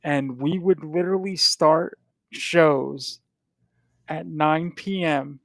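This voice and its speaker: Gravelly profane man chatting casually. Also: on the high side, fairly even intonation, slow pace, dry recording. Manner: rambling, profane, restarts often. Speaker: podcast host. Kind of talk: casual chat.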